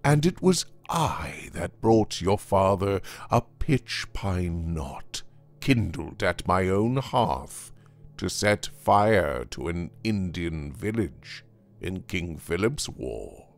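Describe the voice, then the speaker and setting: A man voicing a worldly, sly devil of about fifty in a storybook tale, speaking formal archaic English in a smoothly persuasive way. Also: low voice, big pitch swings, faint music behind, heavily acted. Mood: smug, familiar. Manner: unhurried, archaic formal speech. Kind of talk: dramatised audiobook reading of a classic tale.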